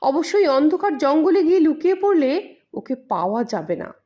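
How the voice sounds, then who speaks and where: A woman's voice: sits high, big pitch swings, moderate pace, warm tone, voice pushed up. A woman voicing a menacing, bullying male hired thug in an audio story. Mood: frustrated. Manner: bullying, demanding.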